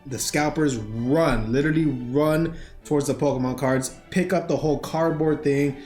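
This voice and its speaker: Slightly high-voiced man chatting, roomy echo. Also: normal speed, darkish tone. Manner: joking, profane, slangy. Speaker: podcast co-host. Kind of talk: casual movie review chat. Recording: echoey room, faint music behind.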